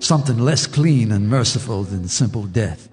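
An actor narrating a radio horror drama as a haunted neighbor, in a grave, measured storytelling manner. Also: male voice, fairly low pitch, lively intonation, darkish tone, faint music behind, close to an everyday voice. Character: haunted male neighbor telling a horror tale.

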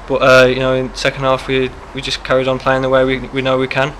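Young male footballer, post-match chat, quick and even, close handheld mic, faint hiss. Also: medium pitch, low-quality recording. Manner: quick, steady answers with many fillers. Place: press backdrop, indoors. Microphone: handheld mic held close.

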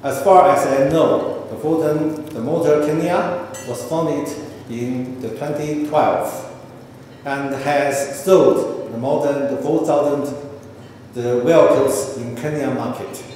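Middle-aged male official, Chinese accent, mellow voice, halting podium speech in a very echoey hall. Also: medium pitch, steady tone. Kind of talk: formal scripted speech read aloud. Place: indoor event hall, at a podium. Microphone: handheld microphone. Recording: very echoey hall, faint background noise.

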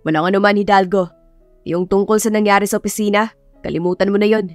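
A reserved male professor, acted by a woman narrator speaks with curt authority. He is stern but quietly caring, in an audio romance with music behind. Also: medium pitch, steady tone, quick pace, no room sound, natural voice. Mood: gentle.

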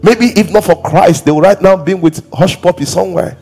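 Husky Nigerian pastor preaching on stage. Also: male voice, high voice, brisk. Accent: Nigerian English. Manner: animated, acts out quoted voices, breaks into singing and shouting. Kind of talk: sermon. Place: large church stage.

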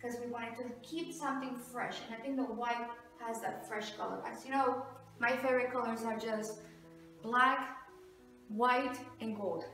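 A middle-aged woman with a high voice talks casually to the camera, showing viewers around her house. The sound is very echoey, picked up by a camera microphone some distance away in a big open hallway. Her delivery is chatty and demonstrative, in the style of a home renovation vlog. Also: fairly even intonation, a little slow, fairly bright tone, soft background music. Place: large two-storey house hallway and stair landing. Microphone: camera mic a few metres away.

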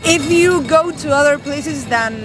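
A woman interviewed on a busy city street, answering in an unhurried way with laughs between phrases. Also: high voice, music in the background.

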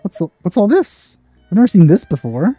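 A man's voice: high voice, sing-song, moderate pace, warm tone, husky, no room sound. A man giving casual let's play commentary as a gaming host, musing and hesitant. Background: game music.

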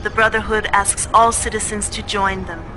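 An actress playing a female propaganda news presenter who reads a scripted broadcast in a smooth, persuasive way. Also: mid-range voice, fairly even intonation, moderate pace, background noise, lightly acted.

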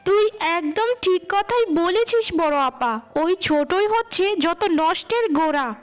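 A high-voiced young woman , played by a woman who is the scheming middle sister in a cartoon tale. She sounds resentful and conspiratorial as she grumbles. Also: animated, a little slow. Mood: spiteful. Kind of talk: cartoon story acting.

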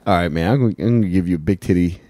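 A man's voice: low voice, racing, mellow, no room sound. An American man in his thirties telling stories casually as a comedy podcast co-host, with slangy, sweary talk into a handheld mic. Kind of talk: casual comic storytelling. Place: small wood-panelled podcast room, indoors. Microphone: handheld microphone held close.

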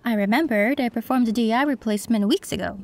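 A woman voicing a female cyberpunk tech who works on an android, calm and matter-of-fact, in an audio roleplay. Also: fairly high pitch, fast talker, a little hiss or hum, close to an everyday voice.

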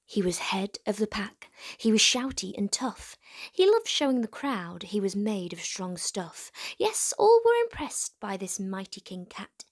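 A woman reading a children's storybook aloud expressively as host, with dramatic pauses and hushed emphasis. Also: medium pitch, very animated, slightly rough.

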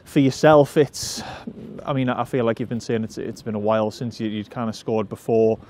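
A man's voice: mid-range voice, steady tone, moderate pace, darkish tone, a little hiss or hum. A man interviewing a footballer after a match for the club's channel, asking casual, chatty questions with restarts. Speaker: club media interviewer.

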